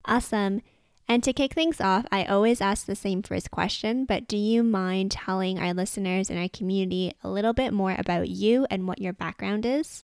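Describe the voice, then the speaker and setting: A woman's voice: medium pitch, normal speed, clear, resonant tone, dry recording. A woman hosting a podcast, talking in an upbeat, enthusiastic way into a close mic as she interviews a guest. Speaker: podcast host.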